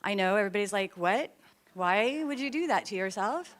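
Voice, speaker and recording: A middle-aged woman from Oregon giving a friendly, chatty talk to a gathering, with a loud voice and self-deprecating humor. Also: mid-range voice, animated, a little fast. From Oregon, USA. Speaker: guest speaker, nonprofit CEO. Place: meeting hall with audience.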